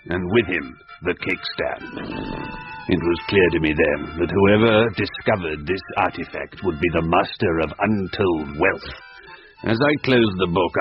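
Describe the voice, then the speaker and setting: An actor playing a pompous gentleman adventurer who recounts his horror tale in florid, verbose mock-gothic style. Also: lowish voice. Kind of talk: comic radio horror narration. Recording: muffled radio recording with music behind.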